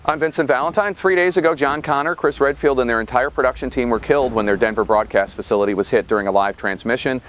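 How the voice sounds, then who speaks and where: A man's voice: medium pitch, fast, hardly any pauses, fairly bright tone, slight background noise, close to an everyday voice, low-quality recording. A man playing a sombre late-night radio call-in host in a wartime audio drama, with solemn, clipped announcing. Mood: solemn, urgent.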